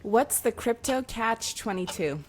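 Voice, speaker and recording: A woman's voice: on the high side, expressive, measured pace, slight background noise. A woman hosting a casual, playful question-and-answer interview. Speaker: interview host.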